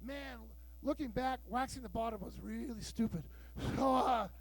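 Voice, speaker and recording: High-voiced man doing bawdy stand-up. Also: normal speed. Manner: loud, bawdy storytelling with acted-out dialogue. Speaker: stand-up comedian. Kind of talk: stand-up comedy set. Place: live show room with audience.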